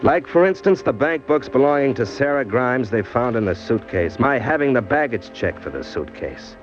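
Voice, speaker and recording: A man's voice: mid-range voice, expressive, normal speed, lightly acted. An American actor playing a condemned small-time crook in a 1940s radio crime drama, telling his story in hard-boiled slang with bitter, wry resignation. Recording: dull old radio recording, loud music behind.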